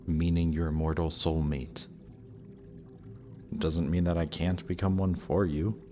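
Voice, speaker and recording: A man's voice: low voice, little pitch movement, slow, mellow, faint music behind. A man playing a death god's smug, lovestruck young son in a romantic audio roleplay, talking casually and teasingly to the listener. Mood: persuasive, casual.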